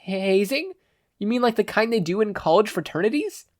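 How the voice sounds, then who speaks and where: A man's voice: high-pitched, expressive, moderate pace, no echo, studio quality, pitched above the performer's own voice. A man voicing a nervous, polite teenage boy with an awkward, hesitant manner in a fan fiction audio reading. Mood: nervous, alarmed. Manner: hesitant, earnest.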